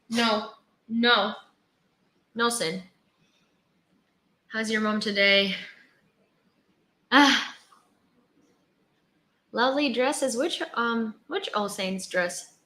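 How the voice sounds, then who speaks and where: A young woman from Ukraine hosts a relaxed livestream, rambling through chat with lots of fillers. Her voice is bright and a little tense, and it rings in an echoey room. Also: on the high side, very slow, pauses often. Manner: chatty, rambling, many fillers and restarts. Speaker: livestream host. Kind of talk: casual livestream chat, reading viewer comments.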